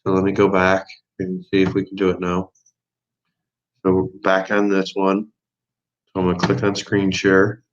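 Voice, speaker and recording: A man's voice: deep voice, completely flat delivery, normal speed, pauses often. A man co-hosting a livestream test, chatting casually and easygoing. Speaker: livestream co-host. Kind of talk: casual chat. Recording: lo-fi livestream audio.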